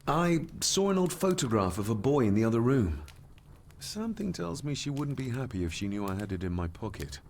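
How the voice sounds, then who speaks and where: A young heir in a period mystery game, his pitch moving freely as he probes with formal politeness. Some background noise sits behind him. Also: very animated, brisk. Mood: polite, probing, then suspicious, impatient. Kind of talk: video game drama dialogue and inner monologue.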